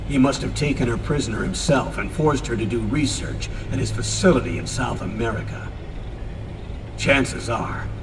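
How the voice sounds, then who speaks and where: A man's voice: mid-range voice, natural voice. Game role: male actor as older commander, formal and sober, long reverb, off-mic, noisy. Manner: formal, measured. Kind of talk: video game cutscene acting. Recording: distant, reverberant, noisy transmission.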